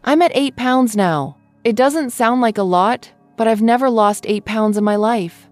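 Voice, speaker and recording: An actress playing a chatty, self-satisfied therapy patient in an audio story. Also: female voice, on the high side, unhurried, a little mellow, no echo, soft background music, close to an everyday voice. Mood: proud, chatty. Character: chatty, upbeat woman therapy patient, pleased with herself. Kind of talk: scripted audio drama dialogue.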